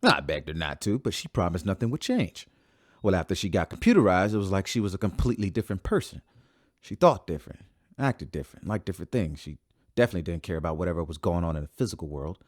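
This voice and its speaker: A bitter, emphatic man in a sci-fi radio play. His voice is very deep, quick and lively, recorded dry. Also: no echo. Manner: heated, emphatic. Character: bitter grown man, grieving a lost sister. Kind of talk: audio drama acting.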